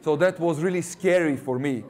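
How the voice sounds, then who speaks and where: A man's voice: on the high side, moderate pace, a little mellow. Chatty Russian-accented university recruiter, man. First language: not English. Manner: jokey, chatty, quizzes the audience. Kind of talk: presentation to students.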